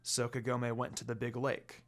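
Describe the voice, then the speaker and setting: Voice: medium pitch, completely flat delivery, moderate pace, pitched below the performer's own voice, close to an everyday voice. A narrator voicing a cool, aloof, commanding male dog demon lord with a murmuring, haughty way of talking. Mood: cool, teasing.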